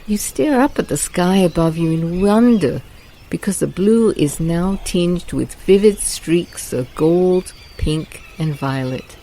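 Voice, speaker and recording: A woman guiding a meditation in a slow, soft, soothing voice. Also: fairly low pitch, slight background noise, poor audio. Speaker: meditation guide. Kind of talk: guided meditation narration.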